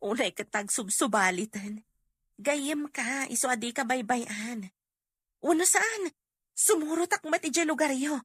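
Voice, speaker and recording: An earnest young Ilocano woman played by an actress in an emotional drama. Her voice is high and bright with a husky grain, recorded close and dry. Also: animated, a little slow, no room sound, exaggerated voice. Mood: exasperated. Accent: Filipino, Ilocano. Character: emotional young woman, daughter and loyal friend. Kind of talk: Ilocano melodrama acting.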